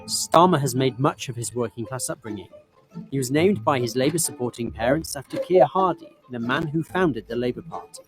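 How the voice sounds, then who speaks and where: Lively male explainer narrator. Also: mid-range voice, over music, poor audio. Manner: crisp and measured. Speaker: documentary narrator.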